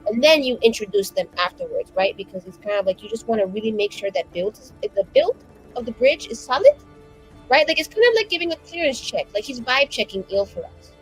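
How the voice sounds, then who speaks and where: A woman's voice: fairly high pitch, very animated, brisk, faint music behind, rough recording. A woman playing a tabletop game, rambling with many "like"s and "right?"s. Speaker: player. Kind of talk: casual roleplay chat.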